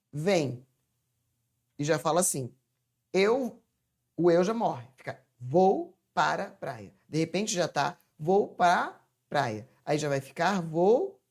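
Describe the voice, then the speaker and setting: A woman with a somewhat low-pitched voice teaches a grammar lesson from home. She talks to viewers in a chatty, familiar way and slowly stretches words out syllable by syllable to show their sounds. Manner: chatty, addresses viewers as "gente". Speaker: Portuguese teacher. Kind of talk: informal online grammar lesson.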